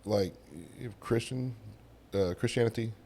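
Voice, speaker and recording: A man's voice: mid-range voice, moderate pace, dark tone, breathy edge. A man from Texas with a slurred Southern drawl, chatting casually as a podcast guest. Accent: Texan Southern. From Texas, United States. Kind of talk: casual conversation.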